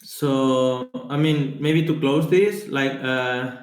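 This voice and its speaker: Male, medium pitch, tentative and easygoing meeting talk. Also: slow, roomy sound. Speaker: working group participant. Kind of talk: casual meeting discussion. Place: online group call.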